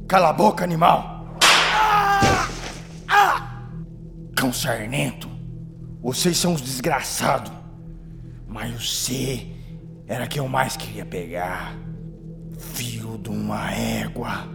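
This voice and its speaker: An actor playing a cruel, menacing plantation henchman in a radio drama, sneering out drawn-out insults in a Brazilian accent. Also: mid-range voice, vocal fry, music in the background. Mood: furious. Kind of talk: radio drama villain acting.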